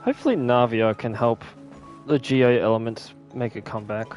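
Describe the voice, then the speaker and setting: A man's voice: medium pitch, moderate pace, a little mellow. Casual male game streamer, slightly dull sound. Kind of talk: casual gameplay commentary. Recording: slightly dull mic over game music. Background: game music.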